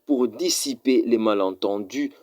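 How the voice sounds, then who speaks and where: A man reading a scripted news report in a formal, measured voice-over. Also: mid-range voice, darkish tone, no room sound, clean studio sound. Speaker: news narrator.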